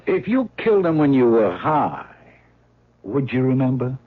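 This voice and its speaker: Husky, blunt cop. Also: male voice, mid-range voice, very animated, moderate pace. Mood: accusing, firm. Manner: blunt, accusing. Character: hard, insistent male police lieutenant interrogating. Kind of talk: 1970s radio drama acting. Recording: narrow phone-like old radio recording.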